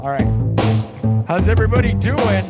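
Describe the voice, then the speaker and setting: A man hosting a music livestream, chatting casually and slangily with his viewers and giving hyped shout-outs. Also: animated, normal speed. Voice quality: bass singing voice.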